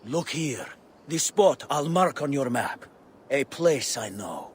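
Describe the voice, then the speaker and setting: Mercenary wayside guide for a game: slow, theatrical and cryptic, lively pitch, faint noise behind. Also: male voice. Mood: secretive. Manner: theatrical, cryptic, inverted phrasing. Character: sly, mercenary male guide hailing travelers. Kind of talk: video game character acting.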